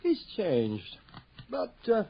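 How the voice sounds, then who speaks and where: An actor playing a genial older father in a radio drama, bantering and animated. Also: sits high, drawn-out words, vocal fry, exaggerated voice. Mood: amused, teasing. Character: genial, teasing older father, working-class household. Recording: dull, noisy old radio broadcast.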